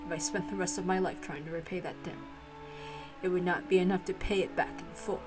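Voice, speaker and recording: Woman doing a subdued, low-toned old manservant. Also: fairly even intonation, brisk, darkish tone, soft background music, close to an everyday voice. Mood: solemn, grateful. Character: stern, quiet old male servant, loyal and humble.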